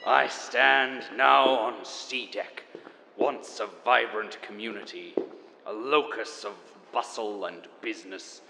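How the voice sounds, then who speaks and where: An actor playing a grandiose, theatrical chronicler enduring after the apocalypse, pompous and melodramatic in an audio drama monologue. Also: male voice, fairly low pitch, takes it slowly, vocal fry, long reverb tail, noisy background. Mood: wistful, grandiose. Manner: pompous, melodramatic, savoring each word.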